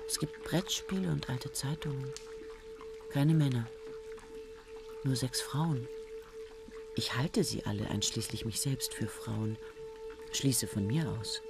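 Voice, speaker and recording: An actress playing a bewildered woman newcomer who slowly muses about the room she finds herself in, in a radio play. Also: extremely low pitch, over music, heavily acted. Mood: musing. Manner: slow, musing, wondering. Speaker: narrator and main character. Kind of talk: 1970s radio play acting.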